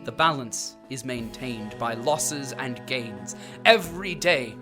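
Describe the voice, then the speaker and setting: A man's voice: mid-range voice, lively intonation, slow pace, over music. A man narrating an audio drama as a solemn, grandiose chronicler of a dystopian city, emphatic and portentous.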